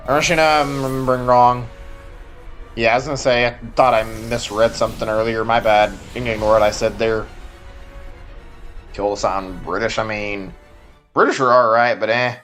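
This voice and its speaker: A man's voice: mid-range voice, steady tone, normal speed, fairly bright tone, faint music behind. Rambling Texan guy streaming a game. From Texas. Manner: rambling, filler-heavy, answers chat as he plays. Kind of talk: casual live-stream chat while playing.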